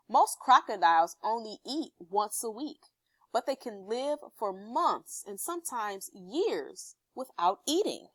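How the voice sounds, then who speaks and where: A woman teacher reading an informational text aloud to pupils and explaining it, pausing to check understanding with questions. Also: fairly high pitch, no room sound.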